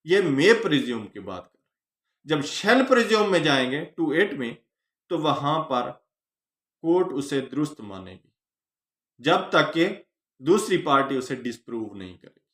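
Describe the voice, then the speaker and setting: A man with a Pakistani accent giving an explanatory law lecture, teaching phrase by phrase with pauses. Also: on the high side, big pitch swings, a bit bright. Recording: echoey room.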